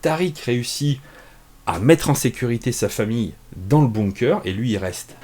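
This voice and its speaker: A man's voice: mid-range voice, lively intonation, moderate pace, a little mellow. A man chatting casually and unscripted from his small home office, reviewing a comic, self-mocking, with many fillers and restarts.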